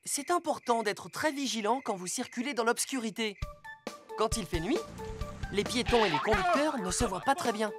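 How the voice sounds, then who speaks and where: An actor voicing a calm, instructive rescue car robot in a French cartoon dub, speaking in a composed, explanatory way. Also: mid-range voice, normal speed, loud music behind the voice, close to an everyday voice.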